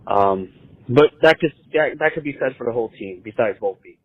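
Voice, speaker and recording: Male caller, middle pitch, measured and a little hesitant, casual sports talk over a tinny phone line. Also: expressive, slightly rough, no echo.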